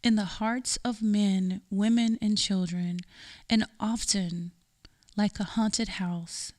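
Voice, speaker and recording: A woman giving a solemn, measured poetry reading in a radio theater production. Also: mid-range voice, fairly even intonation, darkish tone. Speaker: poem reader.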